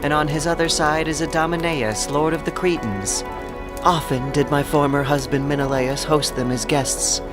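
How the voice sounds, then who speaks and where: A woman's voice: low-pitched, moderate pace, over music, natural voice. A woman playing a sorrowful, regretful noblewoman weighed down by shame in an epic audio drama. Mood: sorrowful, explaining. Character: sorrowful, ashamed noblewoman, regretful and self-reproaching.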